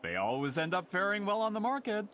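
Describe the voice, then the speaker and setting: A man's voice: high voice, sing-song, fast talker, fairly bright tone, a little hiss or hum. An actor playing a self-assured, somewhat impatient author in a video game, pushing to get what he wants. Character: pushy male author chasing a story.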